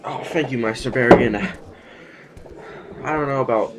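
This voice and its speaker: A man playing an agreeable, hesitant nobleman in an audio drama, speaking in a halting, politely conciliatory way. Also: mid-range voice, very noisy, lightly acted. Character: agreeable, hesitant male nobleman among scheming councillors. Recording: music under the dialogue.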